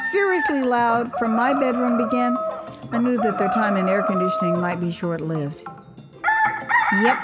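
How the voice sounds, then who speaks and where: An American woman narrating her own memoir as an audio drama, expressive and wry, with conversational asides. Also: fairly high pitch. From United States. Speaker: author and storyteller.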